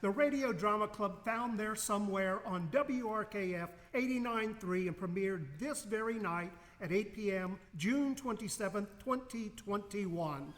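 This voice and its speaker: A man with a high voice plays radio sidekick, delivering scripted segments in a folksy, presentational style. The sound carries a long hall reverb. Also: measured pace, hardly any pauses, a bit bright. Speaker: radio sidekick and resident historian. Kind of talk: scripted comic on-this-day history segment.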